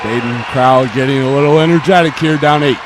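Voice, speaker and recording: A man's voice: medium pitch, a little fast, crowd noise. Young man calling basketball over gym noise. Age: teenager or young adult student. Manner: clipped phrases calling each action. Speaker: student play-by-play announcer. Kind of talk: live basketball play-by-play commentary. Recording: noisy gym, bouncing balls behind. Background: gym ambience and basketball bounces.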